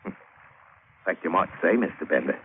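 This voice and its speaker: An actor playing a rough, crafty English sailor in a 1950s radio drama, with colloquial, ungrammatical seafaring talk and a sardonic, scheming manner. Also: male voice, low-pitched, animated, measured pace, a little hiss or hum. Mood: wheedling, scheming. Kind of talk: 1950s radio drama acting, first-person narration and dialogue. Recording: muffled, narrow-band vintage radio broadcast recording.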